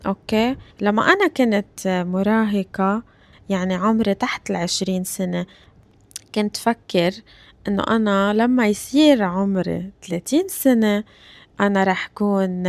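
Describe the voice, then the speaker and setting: A woman in her thirties from Lebanon, with a Lebanese Arabic accent, hosting a language-learning podcast. She talks slowly and deliberately, repeats phrases and checks in with her listeners. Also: medium pitch, no echo. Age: 30s. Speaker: Arabic teacher and podcast host. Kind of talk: slow, clear podcast lesson for learners.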